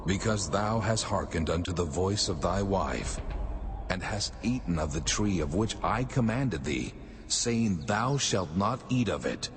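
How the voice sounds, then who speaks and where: An actor playing a stern, commanding God, solemn and authoritative, in a dramatized audio Bible with long reverb. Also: male voice, deep voice, animated, a little slow, a little hiss or hum. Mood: stern, judging. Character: the Lord God, male, stern commanding judge. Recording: long hall reverb with music.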